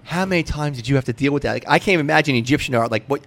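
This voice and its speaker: A man's voice: on the high side, racing, gravelly, fairly bright tone. An American man hosting a casual interview, asking questions in a blunt, informal, slangy way. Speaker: podcast host, interviewer.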